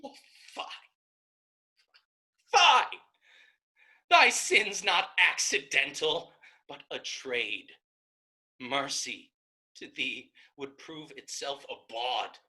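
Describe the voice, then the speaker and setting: A woman's voice: low-pitched, big pitch swings, very slow, long pauses, pushed and bright, heavily acted. A woman playing a fierce, virtuous young novice sister in a staged Shakespeare reading, emphatic and declamatory. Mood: outraged.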